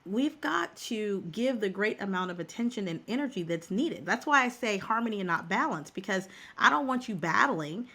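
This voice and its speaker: A woman's voice: mid-range voice, lively intonation, normal speed, few pauses, bright, forceful tone, slightly rough. A middle-aged woman who works as a career coach, talking as a guest in a podcast interview. She is emphatic and repeats words for stress.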